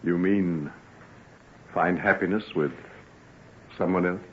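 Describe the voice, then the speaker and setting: An actor playing an earnest, formal gentleman doctor in old-time radio drama. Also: deep voice, slow, slight background noise. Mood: pained, restrained. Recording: thin, phone-like old radio recording.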